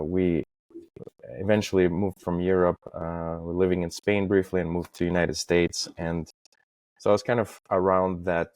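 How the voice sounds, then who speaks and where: Deep monotone guy from estonia, lots of uhs, dry close mic. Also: dry recording. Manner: halting, frequent fillers and restarts. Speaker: podcast guest. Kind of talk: casual interview talk.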